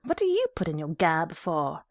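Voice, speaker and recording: A woman's voice: low-pitched, huge pitch swings, moderate pace, dry recording, studio quality, heavily acted. A woman voicing a hot-tempered, bullying working man and father in an audiobook, barking fiercely and scornfully.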